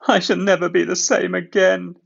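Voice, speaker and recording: A man voicing a cheeky, excitable little tank engine in a high, squeaky falsetto for a children's storybook. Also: normal speed, gravelly, husky, dull audio, heavily acted. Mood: sad, worn out. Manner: exclamatory, cheeky.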